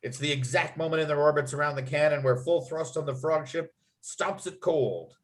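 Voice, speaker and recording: A man's voice: fairly high pitch, moderate pace. A man reading out screenplay scene headings and action as the narrator of a script table read. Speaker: narrator and writer.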